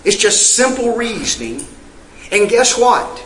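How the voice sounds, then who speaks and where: A man's voice: very high-pitched, very animated, unhurried, fairly bright tone, echoey room, slight background noise, poor audio. A male preacher, delivering an emphatic sermon full of rhetorical questions and scripture read in old-fashioned wording. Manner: reads scripture in King James wording.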